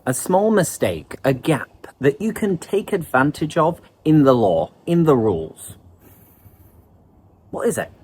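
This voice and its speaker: Male English teacher, online lesson, lively and explanatory, pitch swings widely. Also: unhurried, slight background noise.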